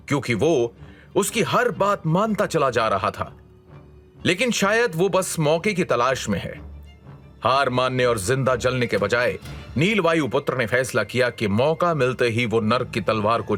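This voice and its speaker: A male narrator reads a scripted drama with suspense. His medium-pitched voice moves with lively intonation, over a faint bed of music.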